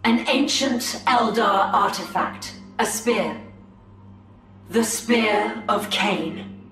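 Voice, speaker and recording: An actress playing a proud, calm alien elf seer in a video game, with a solemn, deliberate, formal delivery. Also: female voice, medium pitch. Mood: solemn, persuasive. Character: proud, calm female Eldar seer striking a bargain. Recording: echoey, distant, faint music behind.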